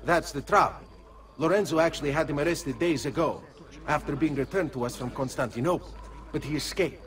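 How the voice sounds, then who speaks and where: An actor voicing a brisk mercenary in a video game. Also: male voice, a little high, natural voice. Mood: urgent. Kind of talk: video game cutscene acting.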